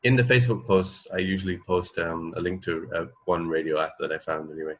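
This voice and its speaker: Hesitant, low-pitched male radio co-host. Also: steady tone, fairly quick, slight background noise. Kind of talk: casual chat.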